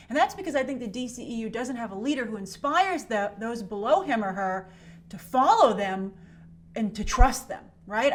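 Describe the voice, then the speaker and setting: A woman hosting an online film commentary show, speaking in an emphatic, conversational way and often checking in with rhetorical questions. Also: mid-range voice. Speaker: YouTube host and film commentator. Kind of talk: opinionated commentary to camera.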